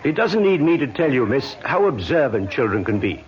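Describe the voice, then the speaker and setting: A man playing a kindly Scotland Yard inspector in an old radio drama, patient and gently probing. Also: mid-range voice, big pitch swings, normal speed, close to an everyday voice. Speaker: police inspector. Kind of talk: old time radio acting. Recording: muffled old radio recording.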